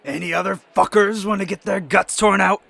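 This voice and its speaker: A man playing a menacing, sneering demon arena boss in an audiobook, with a gravelly voice. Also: high voice, steady tone, moderate pace, sharp tone, exaggerated voice. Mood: taunting shout.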